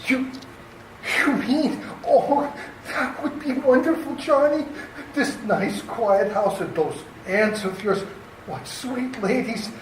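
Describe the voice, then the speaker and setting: Casting: man to play nervous giggly henchman doctor for radio play, slow halting fawning speech, roomy echo, faint noise. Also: extremely high pitch, expressive, full character voice. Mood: eager, fawning. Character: nervous, giggly, timid villain's doctor sidekick. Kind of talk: radio theater acting. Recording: echoey, distant, faint noise.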